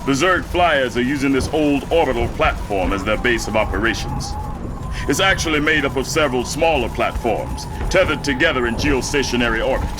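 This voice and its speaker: An actor playing a tough, battle-worn military officer in a video game, blunt and firm. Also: male voice, medium pitch, brisk, lightly acted. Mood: businesslike. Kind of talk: video game cutscene acting. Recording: very loud game music behind.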